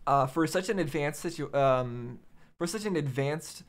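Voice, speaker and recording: A man giving casual off-the-cuff commentary as a reaction host, musing and halting as he thinks aloud. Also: on the high side. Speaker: host of a game reaction video.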